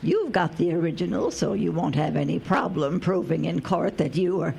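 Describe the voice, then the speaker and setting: A woman's voice: lowish voice, expressive, moderate pace, creaky, mellow, husky, full character voice. A woman acting in a radio drama as a kindly, knowledgeable older local who explains things in a folksy, matter-of-fact way. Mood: explaining.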